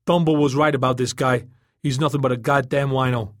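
A man's voice: medium pitch, steady tone, brisk, no echo, clean studio sound, lightly acted. A man voicing a cocky, foul-mouthed male sidekick in an audiobook, brash and mocking. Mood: mocking, contemptuous. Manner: brash, sneering. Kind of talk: audiobook character acting.

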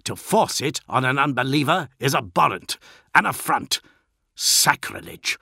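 A man's voice: medium pitch, animated, a little slow, vocal fry, sharp tone, slightly rough, no room sound, studio quality, voice pushed up, full character voice. Man acting out a growling, enraged warrior captain. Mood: stern. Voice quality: growling, snarling. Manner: commanding, stern and formal. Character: enraged hulking armoured warrior captain, stern zealot mentor.

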